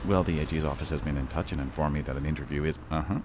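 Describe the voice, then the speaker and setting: An actor playing an anxious middle-aged government official, halting, sardonic and foul-mouthed in a naturalistic audio drama. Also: male voice, extremely low pitch, fairly even intonation, background noise. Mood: strained politeness. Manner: halting, flustered, profane, sardonic.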